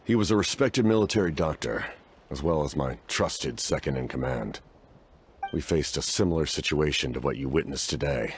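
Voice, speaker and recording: Very deep-voiced, solemn knight-commander. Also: male voice, lively intonation, slight background noise. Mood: grave. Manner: formal, measured, grave. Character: solemn masked male knight-commander, war-weary and stoic. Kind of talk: fantasy game voice acting.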